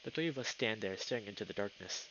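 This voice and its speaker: A man's voice: fairly low pitch, expressive, fast, a bit bright, lo-fi sound, a little hiss or hum. A man live streaming a game, chatting casually and reading the story text aloud, sometimes tripping over words. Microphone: streaming mic with noise filtering.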